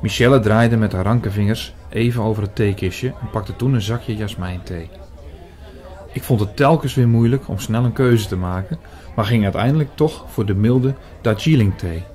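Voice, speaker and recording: A man playing an earnest, shy priest who narrates an audio drama in a measured, reflective way, hesitant in dialogue. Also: fairly low pitch, a little mellow, close to an everyday voice.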